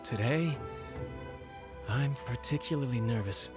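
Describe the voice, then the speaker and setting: A man's voice: mid-range voice, measured pace, lightly acted. A man voicing an anxious, deferential young retainer in a video game, stammering and respectful. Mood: worried. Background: game music.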